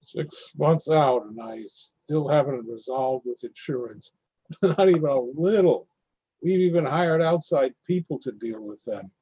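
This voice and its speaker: A man playing a husky, fed-up homeowner. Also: medium pitch, measured pace, mellow, no room sound, rough recording. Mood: frustrated. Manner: weary, frustrated. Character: exasperated adult male homeowner fighting insurers. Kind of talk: readers theatre monologue.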